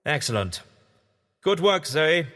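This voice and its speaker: A man playing an eccentric, kindly time-travelling scientist in a science fiction audio drama, excitable, theatrical and a little fussy. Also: on the high side, slow pace, full character voice. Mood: encouraging. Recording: long hall-like reverb.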